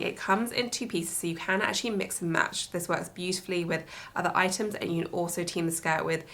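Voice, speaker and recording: A woman's voice: fairly low pitch, a bit bright. A young woman from the UK chatting casually to camera about new clothes, gushing with superlatives while sounding congested from allergies. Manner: gushing, enthusiastic, lots of superlatives. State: congested, stuffy-nosed from allergies. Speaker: fashion vlogger. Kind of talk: casual haul chat to camera.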